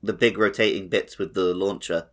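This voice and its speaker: A man's voice: sits low, steady tone, moderate pace, fairly bright tone. A man co-hosting a gaming podcast in a casual, conversational way. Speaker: podcast host. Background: music.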